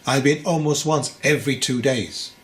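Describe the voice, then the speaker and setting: A man's voice: medium pitch, normal speed, close to an everyday voice. A man voicing an anxious, deferential father in an audiobook, speaking in a placating, respectful way. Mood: worried, placating. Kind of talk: audiobook character voice.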